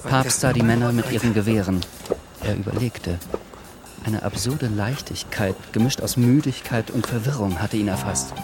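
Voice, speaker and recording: A man narrating a literary radio play as a sober, reflective storyteller, in a measured manner. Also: fairly low pitch, over music, close to an everyday voice. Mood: measured, grave. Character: sober, reflective male storyteller of a wartime novel.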